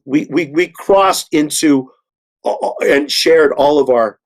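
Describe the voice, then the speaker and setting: A man speaking earnestly in a discussion, halting and repeating words as he makes emphatic points. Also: a little high. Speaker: panelist, theatre artist.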